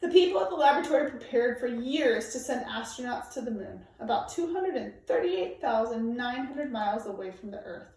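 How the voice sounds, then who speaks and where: A woman reading a children's nonfiction book aloud in a measured, emphatic way, phrasing it for young listeners. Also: fairly high pitch, animated, a little mellow. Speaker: storybook reader. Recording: very echoey room, distant microphone.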